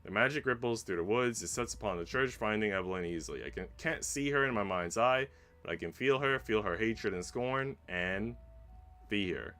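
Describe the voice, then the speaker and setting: A man reading a visual novel aloud as a streamer, in an expressive, dramatic narration that drops hushed and rises with emphasis. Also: fairly low pitch, normal speed. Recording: faint game music behind. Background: game music.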